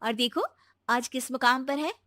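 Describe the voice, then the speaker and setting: A woman voicing a gentle but determined young daughter-in-law in a moral story, earnest and coaxing. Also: high-pitched, moderate pace, no room sound, clean studio sound. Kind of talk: animated Hindi moral-story voice acting.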